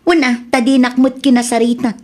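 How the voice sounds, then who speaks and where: A woman's voice: a little high, little pitch movement, fairly quick, warm tone, close to an everyday voice. Indignant, exclamatory Ilocano elder daughter, played by a woman. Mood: aggrieved. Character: indignant, pushy grown daughter and elder sister. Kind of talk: Ilocano radio drama acting.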